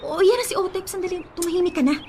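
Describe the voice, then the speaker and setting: A woman's voice: very high voice, very fast, background noise. An actress playing a desperate, stubborn young Filipino woman clinging to her ex in a radio drama, pleading and insistent. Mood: impatient. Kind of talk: radio drama acting in Taglish. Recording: music behind the voice.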